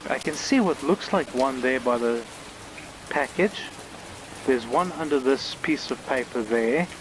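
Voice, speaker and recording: A man's voice: mid-range voice, lively intonation, unhurried, noisy background. A man giving casual playthrough commentary on a game, musing aloud informally with mild exclamations. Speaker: game reviewer playing on camera.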